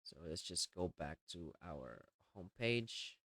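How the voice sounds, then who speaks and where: A man narrating a step-by-step software tutorial in a plainly explanatory, instructional way. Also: low-pitched, lively intonation, measured pace, no echo. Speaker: tutorial presenter.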